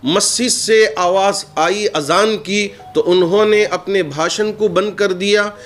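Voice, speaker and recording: A man's voice: high-pitched, fairly even intonation, slow pace, fairly bright tone, soft background music. A man delivers a statement in the role of a cleric. His wording is formal and his manner earnest, as if addressed to the news media. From India. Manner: earnest, formal Urdu-laced speech.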